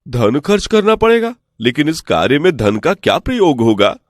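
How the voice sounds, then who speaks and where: A greedy, easily fooled village priest as a man acts him in an animated folk tale. The voice leaps about in pitch and is dry and close. He sounds excitable and credulous. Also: normal speed, no echo. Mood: amazed, credulous.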